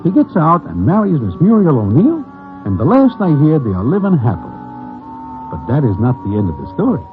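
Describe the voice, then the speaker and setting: Wry narrator, radio drama, formal slang, hugely varied pitch, lo-fi, music behind. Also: male voice, huge pitch swings, a little fast. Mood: wry, storytelling. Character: wry, mild Broadway regular telling his gangland tale. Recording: narrow lo-fi sound with music.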